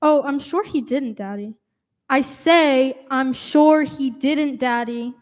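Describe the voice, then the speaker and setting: A woman playing an anxious, high-voiced teenage daughter. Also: takes it slowly. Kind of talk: old-time radio comedy acting. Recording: echoey hall with long reverb.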